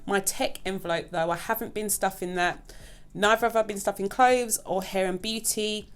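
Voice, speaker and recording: A woman's voice: medium pitch, fairly even intonation, normal speed, clear, resonant tone. A woman hosting her own budgeting channel, talking casually and chattily to her viewers. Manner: chatty, keeps addressing viewers as "guys". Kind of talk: casual vlog chat.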